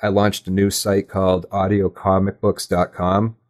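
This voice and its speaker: A man speaking as a guest in a casual interview, halting and self-effacing as he thinks aloud. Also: low voice, level tone, darkish tone. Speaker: podcast guest, audio drama creator.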